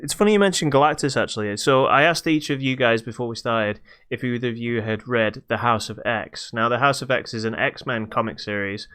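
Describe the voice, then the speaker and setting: A man co-hosting a podcast, reviewing games in casual chat with halting explanations that trail into dismissive asides. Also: medium pitch, sharp tone, smooth. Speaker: podcast co-host.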